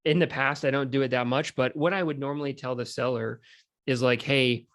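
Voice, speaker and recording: A man chatting casually and explaining how he works, in an informal, halting way peppered with filler words. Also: medium pitch, fairly even intonation, a bit bright. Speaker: real estate wholesaler in conversation. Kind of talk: casual chat.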